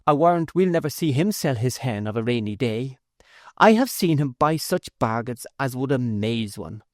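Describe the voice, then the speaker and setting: Shrill scolding mother, put on by a man, exclaiming, dry close sound. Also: animated, normal speed, no room sound, natural voice. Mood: eager. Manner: raised, exclaiming. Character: shrill scolding middle-aged mother, quick to anger.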